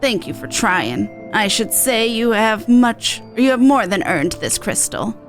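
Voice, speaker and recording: A woman voicing a kindly older village mother, a widow in a fantasy game, speaking in a gentle, folksy and fond manner. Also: a little high, animated, unhurried, faint music behind. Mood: fond, grateful. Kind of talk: acted reading of fantasy game dialogue.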